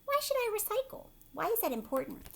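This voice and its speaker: A woman's voice: very high voice, sing-song, quick pace, vocal fry, fairly bright tone, pitched above the performer's own voice, heavily acted. A woman voicing a curious, puzzled young schoolchild in a storybook, with exaggerated, wondering questions.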